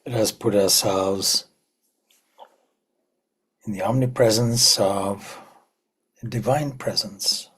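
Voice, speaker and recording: Slow, husky-voiced man guiding meditation. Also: fairly low pitch. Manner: slow, soft, prayerful delivery with whispered endings. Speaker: meditation teacher. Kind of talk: guided meditation.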